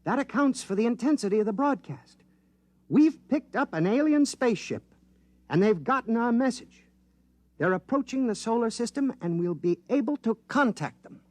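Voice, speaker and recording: An actor in a 1950s radio drama playing an eager, excitable male scientist who explains things in a rush. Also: extremely high pitch, moderate pace, no echo. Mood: excited.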